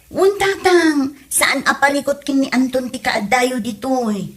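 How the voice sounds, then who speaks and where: A woman's voice: sits high, animated, unhurried. A woman playing a caring, reassuring Filipino daughter and wife in a comedy audio drama, with an Ilocano accent. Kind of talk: hobby comedy radio drama acting.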